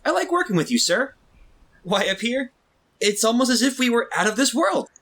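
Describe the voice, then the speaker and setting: A man's voice: very high-pitched, sing-song, normal speed, a bit bright, heavily acted. A man playing an earnest, puzzled young assistant who pleads his case in a retro radio drama. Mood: eager. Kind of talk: 1940s radio drama acting.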